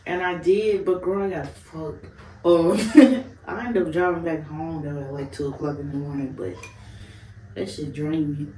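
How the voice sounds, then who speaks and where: A woman's voice: sits low, lively intonation, measured pace, dark tone, far from the mic, a little hiss or hum. Young woman from Chicago, casual interview guest, candid, laughs and swears freely, roomy sound, voice off-mic. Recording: roomy, off-mic, faint ambience.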